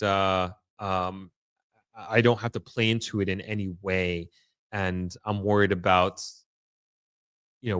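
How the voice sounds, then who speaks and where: A tired man hosting a casual livestream, thinking aloud in an informal, halting way as he answers comments. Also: lowish voice, a bit bright, clear, resonant tone, no echo, clean studio sound. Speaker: livestream host. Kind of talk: casual livestream chat, reading out viewer comments.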